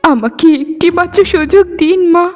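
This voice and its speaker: A high, youthful female cartoon voice acted by a woman plays a rejected young bride. She is meek and self-pitying, pleading in repeated phrases. Music plays behind her. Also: animated, normal speed. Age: young adult. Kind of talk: Bengali cartoon dubbing, melodrama. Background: background music.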